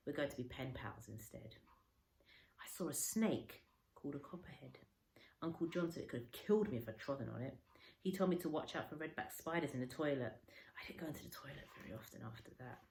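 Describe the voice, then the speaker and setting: A woman reading a children's story aloud like a teacher, with expressive, varied emphasis. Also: low-pitched, fairly quick, slight background noise. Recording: roomy, echoey indoor sound.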